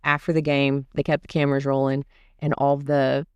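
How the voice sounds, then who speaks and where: Relaxed young man hosting a podcast. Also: medium pitch, brisk, clear, resonant tone, no echo, highs cut off. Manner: relaxed, friendly, informal. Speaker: podcast host. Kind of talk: casual podcast chat.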